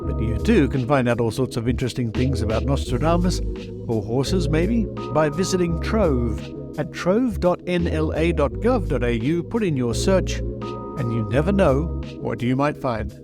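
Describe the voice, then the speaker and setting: A man hosting a podcast, reading scripted material in a measured, theatrical way. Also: mid-range voice, expressive, loud music behind the voice. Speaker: podcast host. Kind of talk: scripted podcast narration, reading old newspaper pieces.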